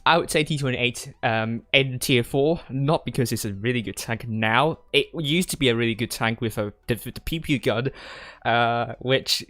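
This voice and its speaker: A man's voice: medium pitch, moderate pace, fairly bright tone, no room sound. A young man from Hong Kong chatting casually as a gaming streamer, rambling hesitantly and often repeating words as he answers viewers. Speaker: gaming streamer and tournament commentator. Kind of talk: casual livestream Q&A chat. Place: indoors at a desk in a gaming chair.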